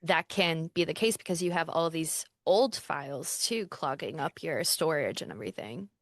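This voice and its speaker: A woman's voice: low voice, animated, moderate pace, dry recording, clean studio sound. A woman hosting a casual podcast chat, bubbly, laughing often and filling with "like". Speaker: podcast host.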